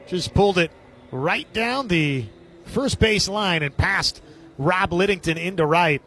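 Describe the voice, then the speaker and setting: A man's voice: high-pitched, animated, a little slow, bright, forceful tone, slight background noise. A man calling a baseball game as a radio play-by-play announcer, describing the action in short, clipped phrases. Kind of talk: live sports commentary.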